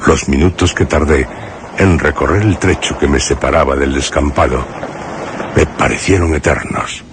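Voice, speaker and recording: A man from Spain, with a Spanish accent, playing a brooding, haunted narrator in a suspense radio drama, speaking solemnly and deliberately with dramatic pauses. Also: a bass voice, background noise. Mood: anguished, grave. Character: brooding, haunted man recounting his horrifying memories. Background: music behind the voice.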